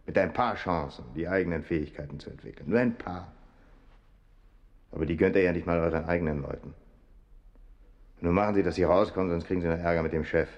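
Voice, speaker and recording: A man's voice: low-pitched, quick pace, long pauses, highs cut off. An actor in a German radio crime drama playing a bitter, defiant male prisoner, a war veteran, with a sardonic, mocking manner. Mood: bitter conviction.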